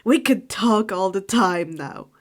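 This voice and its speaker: A boisterous grown man, the playful godfather of a fantasy storybook, as a woman puts him on. He is excitable and projects loudly. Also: medium pitch, slow pace, pitched below the performer's own voice. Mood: excited.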